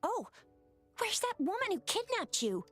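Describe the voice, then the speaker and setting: An actress playing an earnest, eager young patrol officer in a video game, excitable and expressive. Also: female voice, very high-pitched, unhurried, faint music behind, exaggerated voice. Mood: concerned, urgent.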